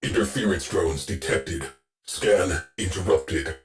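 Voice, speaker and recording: Casting: a solemn military ship intelligence in a sci-fi game, terse and stern, deep register, measured delivery. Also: male voice, soft background music. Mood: urgent, commanding. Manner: terse, clipped, commanding, solemn. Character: stern, stoic male artificial intelligence commanding a warship. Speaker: ship's AI voice in a video game. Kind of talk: scripted game voice lines, military briefing.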